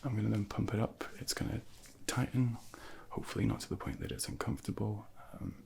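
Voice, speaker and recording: A man softly whispering a medical ASMR role play as a junior doctor, gently reassuring and instructing, close to a binaural microphone. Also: on the low side, animated, fast talker. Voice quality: breathy whispering, gravelly.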